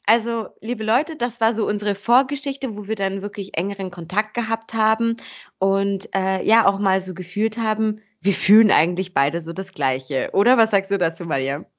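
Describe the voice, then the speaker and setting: A woman's voice: medium pitch, moderate pace, dull audio. A woman hosting a podcast, talking in an enthusiastic, casual way with frequent fillers. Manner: warm, enthusiastic, chatty with fillers. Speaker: podcast host. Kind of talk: casual interview chat.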